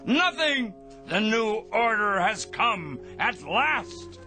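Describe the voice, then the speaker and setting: Pompous, paranoid megalomaniac, highly animated. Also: male voice, slow, soft background music, heavily acted. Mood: giddy, exultant. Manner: pompous, theatrical, ranting. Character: pompous, paranoid megalomaniac man, feverishly excitable, talking to his parrot. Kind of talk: radio drama acting.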